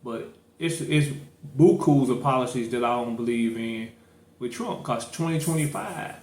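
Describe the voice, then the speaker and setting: A man's voice: medium pitch, normal speed, darkish tone. A man hosting a podcast, chatting casually with lots of fillers and restarts. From St. Louis, Missouri. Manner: rambling, many fillers and restarts. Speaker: podcast host. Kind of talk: casual political chat. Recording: echoey room.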